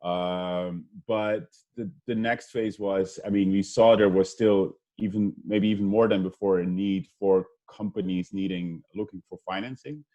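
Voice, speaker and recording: A man's voice: low-pitched, fairly even intonation, unhurried, hardly any pauses, dark tone, highs cut off. A man from Belgium speaking on a video-call webinar panel, hesitant, with many ums and restarts. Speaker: investment fund co-founder.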